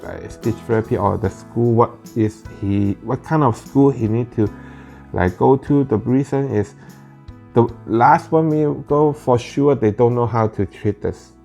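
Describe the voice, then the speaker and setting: A man with Cantonese as his first language speaks slowly in halting English, with restarts along the way. His voice is gravelly and mellow, at an ordinary pitch. Soft guitar music plays faintly underneath. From Northern California Bay Area. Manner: halting, frequent "like" and restarts, plain non-native phrasing. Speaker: parent vlogger. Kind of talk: casual personal storytelling.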